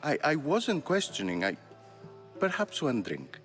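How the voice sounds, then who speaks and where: An actor playing a proud, defensive ancient Greek playwright in a game cutscene, haughty in manner. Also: male voice, fairly high pitch, huge pitch swings, unhurried, faint music behind. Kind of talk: video game cutscene acting.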